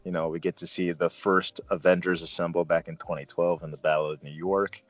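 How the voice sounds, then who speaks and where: A man talks through a casual film review as host, halting and rambling, with bursts of emphasis. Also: sits low, level tone, no echo, muffled recording, faint music behind. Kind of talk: casual movie review discussion.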